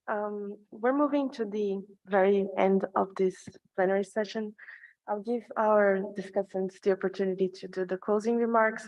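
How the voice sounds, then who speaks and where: Woman moderator, brisk, keeps the session moving. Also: mid-range voice, darkish tone, poor audio. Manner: brisk, brief handovers.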